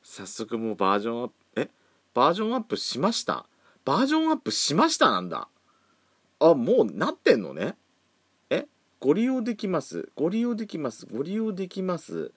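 A man's voice: a little high, huge pitch swings, a little fast, breathy edge, no room sound. A man around forty hosting a casual solo podcast, chatting with campy, feminine phrasing. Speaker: podcast host. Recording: faint background music.

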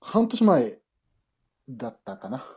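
A man plays a gentle, awkward father in an amateur radio drama. The voice is soft-toned and slightly rough, with wide swings in pitch. The recording is dull, made remotely at home. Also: very animated, slow, exaggerated voice. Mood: awkward, tentative. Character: awkward, gentle divorced father of a teenage girl.

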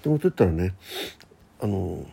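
A man's voice: low-pitched, very animated, moderate pace, creaky, mellow, husky. A man hosting a casual solo radio talk in a rambling, conversational manner. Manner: rambling, conversational, acts out quoted exchanges.

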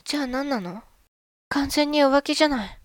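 A woman acting a loving but jealous, suspicious young wife in an amateur radio drama, expressive and often talking to herself. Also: sits high, moderate pace, no echo, clean studio sound, close to an everyday voice. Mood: accusing. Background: music.

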